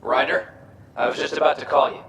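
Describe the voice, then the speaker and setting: An actor playing a gruff, intense and driven male alien soldier in a video game. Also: medium pitch, fairly even intonation, brisk, fairly bright tone, slight background noise. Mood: urgent. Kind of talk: video game cutscene acting.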